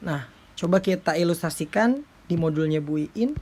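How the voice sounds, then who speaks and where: A woman with a slightly low voice speaks as a lecturer. She explains things informally and step by step, often adding little check-in tags as she goes. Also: lively intonation, moderate pace, a little mellow, a little hiss or hum. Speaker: accounting lecturer.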